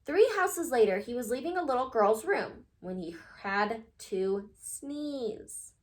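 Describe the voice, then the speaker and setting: A woman narrating a children's picture book as an expressive read-aloud storyteller. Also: fairly high pitch, takes it slowly.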